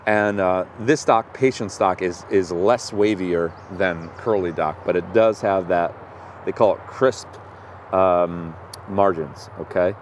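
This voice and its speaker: Enthusiastic male host with a lower voice, recorded outdoors with light noise. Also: takes it slowly. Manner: enthusiastic, explaining. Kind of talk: casual outdoor foraging talk. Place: outdoors in woods.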